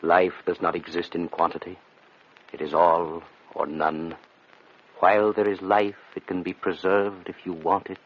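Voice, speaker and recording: An actor playing an intense male hypnotist in a gothic radio drama, solemn, deliberate and commanding. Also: low-pitched, husky, heavily acted. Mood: hushed, commanding. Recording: dull radio recording with faint noise.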